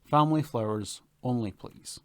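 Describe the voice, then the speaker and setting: A man with a mid-pitched voice reads printed announcements and articles aloud. He works from a script as a volunteer newspaper reader, in a dry recording made at home. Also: normal speed, no echo.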